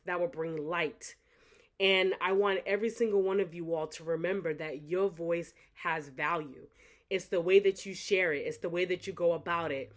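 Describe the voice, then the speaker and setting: Earnest young woman giving motivational talk. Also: fairly low pitch. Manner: earnest, reflective, repeats phrases for emphasis. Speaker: author thanking supporters. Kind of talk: motivational direct-to-camera talk.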